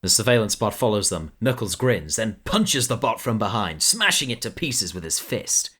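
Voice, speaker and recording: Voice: mid-range voice, sing-song, normal speed, heavy background noise. A narrator reading scripted scene descriptions for a fan audio drama.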